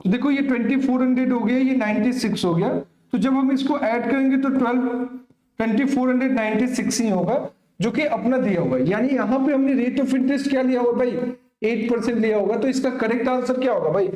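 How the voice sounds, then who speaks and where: High-pitched man teaching. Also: brisk, dark tone. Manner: instructive, often asks and answers own questions; addresses students familiarly. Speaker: maths teacher. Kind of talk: exam coaching lesson, working through a problem. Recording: echoey room.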